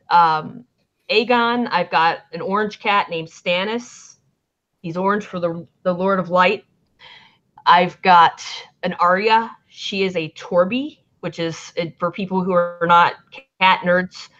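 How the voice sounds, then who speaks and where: A woman with a Southern accent chats and rambles on a livestream, cursing freely as she goes. Her voice sits low for a woman. The audio is dull and lo-fi. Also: fairly bright tone. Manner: rambling, chatty, swears freely. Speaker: band manager. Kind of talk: casual livestream chat. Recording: lo-fi stream audio.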